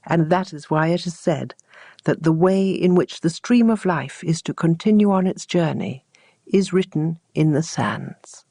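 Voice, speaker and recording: Slow-paced woman storyteller, close dry sound. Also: low voice, expressive, warm tone, dry recording. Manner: measured, deliberate phrasing. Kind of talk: scripted storytelling narration of teaching tales.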